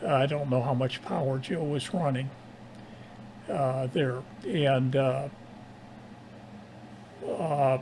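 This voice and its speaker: A man with a tired, strained voice talks casually and haltingly as an amateur radio operator. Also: medium pitch, steady tone, darkish tone, a little hiss or hum, low-quality recording. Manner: halting, pausing often mid-sentence. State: tired, strained voice after nearly losing it. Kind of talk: casual ham radio conversation.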